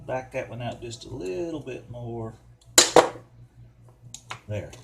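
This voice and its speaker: A man's voice: medium pitch, animated, slow pace, creaky, noisy background. An older man, talking casually and colloquially to himself as he narrates a hands-on repair. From United States. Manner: folksy, colloquial, muttering to himself while working. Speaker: DIY mechanic, video host.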